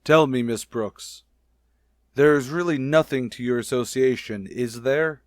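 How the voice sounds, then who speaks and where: A man's voice: medium pitch, unhurried, no echo, natural voice. Man acting pompous middle-aged school principal, dry close sound. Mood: pompous, stern. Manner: pompous, blustering, formal. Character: stuffy, grumbling, bossy male high school principal. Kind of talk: vintage radio comedy acting.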